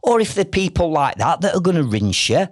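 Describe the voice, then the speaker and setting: A man's voice: on the high side, big pitch swings, a little fast, dry recording, studio quality. A middle-aged man with a Yorkshire accent, hosting a solo business podcast in a direct, persuasive way with many rhetorical questions. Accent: Northern English, Yorkshire. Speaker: podcast host.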